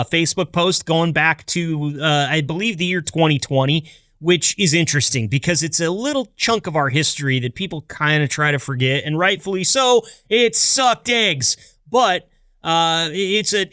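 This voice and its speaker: An American man with a bright, forward, slightly high voice hosts a casual podcast. He talks in playful, jokey patter, upbeat and chatty. The sound is dry and close, with no room around it. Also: no room sound. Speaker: podcast host. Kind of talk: casual, chatty scripted-loose podcast intro.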